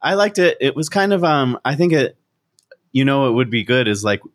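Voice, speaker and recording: A man's voice: mid-range voice, sing-song, normal speed, no echo, clean studio sound. A man joining a casual food-tasting chat with wry jokes. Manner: wry quips. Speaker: co-host tasting candy.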